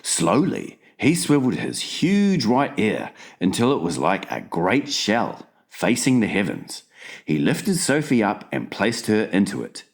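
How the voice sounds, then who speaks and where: Slow, very expressive male storybook narrator. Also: fairly low pitch, vocal fry. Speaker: audiobook narrator. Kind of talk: dramatized scripted storybook narration.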